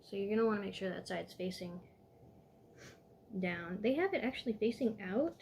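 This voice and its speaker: A woman walking viewers step by step through a toy building set in a calm, instructive way, a little hoarse from losing her voice. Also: mid-range voice, moderate pace, slight background noise.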